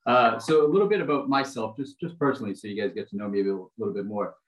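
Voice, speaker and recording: A man's voice: fairly low pitch, rapid-fire, poor audio. A man in his thirties from Brazil with an American accent, a podcast guest talking casually with lots of ums and uhs. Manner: relaxed, many filler ums and uhs. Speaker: podcast guest, finance executive. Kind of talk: casual interview chat.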